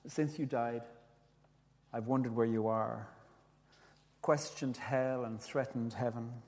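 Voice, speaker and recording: A man with an Irish accent, whose first language is Irish, gently telling a reflective story with restarts and reading his own poetry. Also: mid-range voice, steady tone, takes it slowly, long reverb tail. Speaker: speaker, poet.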